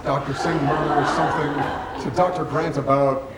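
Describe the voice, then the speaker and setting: A man's voice: a little high, steady tone, moderate pace, far from the mic, heavy background noise. A man reading stage directions as scripted narration at a staged reading. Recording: very echoey theatre from afar.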